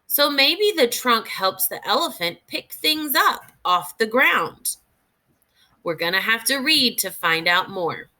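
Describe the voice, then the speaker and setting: Bright, slow, animated woman teacher reading aloud. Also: fairly high pitch. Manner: deliberate, expressive, clearly phrased for young learners. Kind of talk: instructional read-aloud of a children's nonfiction book with think-aloud comments.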